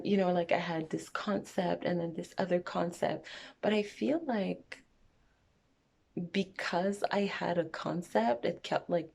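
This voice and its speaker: Young woman from montreal rambling on her vlog, low-ish voice, takes her time. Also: creaky, a little mellow. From Montreal, Canada. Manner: rambling, frank, goes off on tangents. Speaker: vlogger. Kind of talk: casual get-to-know-me chat.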